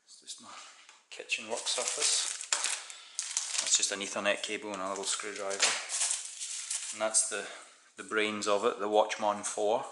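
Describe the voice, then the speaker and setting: A man's voice: fairly low pitch, little pitch movement, normal speed, long pauses. A Scottish man chatting casually as he unboxes parts on his kitchen counter, thinking aloud and correcting himself. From Scotland. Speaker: DIY hobbyist doing an unboxing. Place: home kitchen, indoors. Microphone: camera mic, speaker off-screen filming his hands. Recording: echoey kitchen with background noise.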